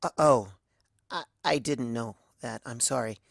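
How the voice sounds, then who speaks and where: A man's voice: medium pitch, unhurried, creaky, a bit bright, dry recording. Casting: inquisitive, polite pony heroine for storybook audio, played by a man, tentative delivery, dry recording. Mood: hesitant. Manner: hesitant, polite. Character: earnest, inquisitive female pony, hesitant and polite.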